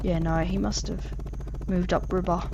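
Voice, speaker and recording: A woman's voice: lowish voice, flat delivery, normal speed, vocal fry, highs cut off, noisy background. An Australian woman in her thirties, chatting casually and earnestly over her gameplay, often trailing off mid-sentence. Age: 30s. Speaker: gaming channel host.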